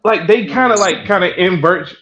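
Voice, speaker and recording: A man chatting casually and telling stories, halting as he searches for words, over an online voice chat. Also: high voice, a bit bright, rough recording. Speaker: group chat participant.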